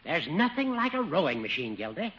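An actor playing a fussy, teasing older judge in a 1950s radio comedy, with a finicky, needling manner. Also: male voice, on the high side, big pitch swings, fairly quick, a bit bright. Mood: smug. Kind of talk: 1950s radio sitcom acting. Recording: dull, noisy old radio recording.

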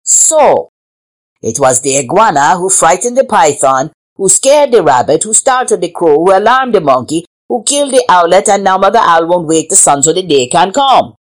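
A woman's voice: fairly low pitch, sing-song, moderate pace, low-quality recording. A woman voicing a commanding male lion king who questions his animal council in a declamatory storybook style. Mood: stern, accusing. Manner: declamatory, insistent, accusing.

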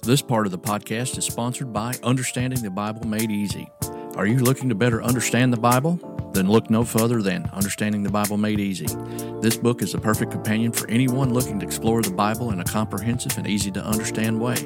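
A man's voice: fairly low pitch, steady tone, quick pace, music in the background. A man reading scripted promotional narration as a podcast announcer.

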